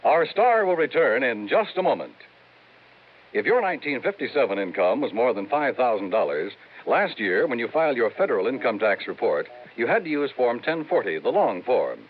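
A man's voice: medium pitch, big pitch swings, normal speed, a little hiss or hum. A man reading scripted announcements as a 1950s network radio announcer. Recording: tinny 1950s radio recording.

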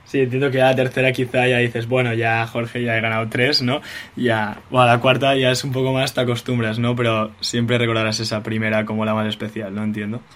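A young man from Spain hosts a casual interview. His voice sits at a middle pitch. He is chatty and strings his questions together at length. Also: flat delivery, a little fast, fairly bright tone. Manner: chatty, asks long rambling questions. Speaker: podcast host and interviewer.

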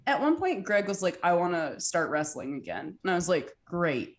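A woman's voice: fairly low pitch, sing-song, moderate pace. A woman in her twenties chatting casually on a podcast, swearing freely and trailing off with "like" and "um". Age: 20s. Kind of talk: casual chat.

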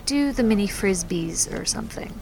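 A woman playing a gravelly, curt office boss. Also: mid-range voice, lively intonation, normal speed, close to an everyday voice. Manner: curt and dry. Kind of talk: audio drama acting.

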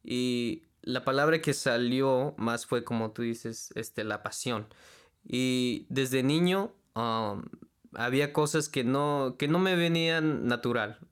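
Smooth-voiced young man, halting speech. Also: medium pitch. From California. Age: 20s. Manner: halting, searching for words with many fillers. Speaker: interview guest, student and church worship leader. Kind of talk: casual interview conversation.

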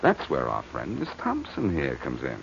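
An actor playing a cocky, quick-witted amateur detective in a 1940s radio crime drama, wisecracking in emphatic slang. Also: male voice, rumbling low, wildly swooping pitch, vocal fry, heavily acted. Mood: smug, explaining. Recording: muffled, hissy old radio recording.

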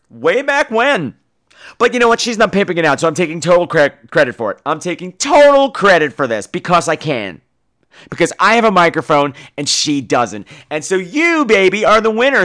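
A man's voice: high-pitched, bright, forceful tone, clean tone. A man hosting a podcast with informal talk, campy, sassy and foul-mouthed in manner. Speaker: podcast host.

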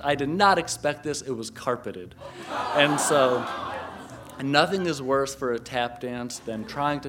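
A man from Connecticut telling a personal story live on stage, animated and laughing often, with many filler words. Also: medium pitch, noisy background. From Connecticut, USA. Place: live stage before an audience.

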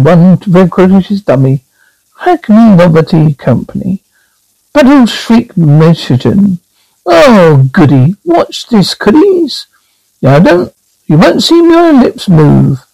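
Cackling ghoul host; shrill, gravelly, macabre puns, big swoops. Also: male voice, mellow, dry recording, full character voice, low-quality recording. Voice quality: raspy, shrill. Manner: punning, theatrical, relishing the macabre. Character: cackling ghoulish male horror host, gleeful. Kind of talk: horror TV drama.

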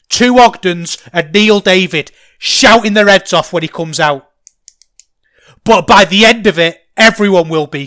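Manchester bloke, middle-aged podcast host, slightly high voice, rambling and fired up. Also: male voice. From England. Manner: enthusiastic, rambling, gets loud when excited. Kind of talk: casual solo podcast chat.

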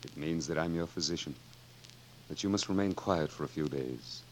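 Dignified physician, very deep, formal and measured, faintly noisy vintage radio drama. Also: male voice. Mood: humbled, kindly. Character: formal, dignified male physician, former rival. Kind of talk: 1940s radio drama acting.

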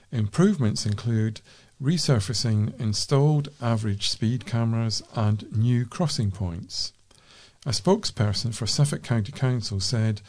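A man's voice: medium pitch, warm tone. A British man reading local news aloud as a volunteer newsreader.

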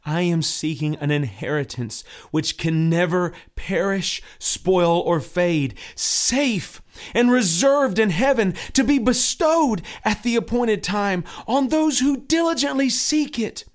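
A man's voice: high-pitched, lively intonation, takes it slowly, pitched above the performer's own voice. A man voicing an earnest, devout male pilgrim who pleads and preaches with fervor. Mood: fervent. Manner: fervent, preaching.

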